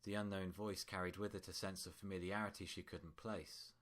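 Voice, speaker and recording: A man with a low-pitched voice narrates a piece of fiction. He reads scripted prose as the storyteller, in a deep register. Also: little pitch movement, a little fast, a bit bright.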